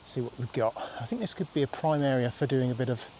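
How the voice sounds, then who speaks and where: A man in his fifties, throaty and husky from a cold, chatting casually and rambling with easy laughs as he vlogs on a rainy countryside walk. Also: mid-range voice, quick pace, mellow, a little hiss or hum. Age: 50s. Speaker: vlogger. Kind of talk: casual walking vlog.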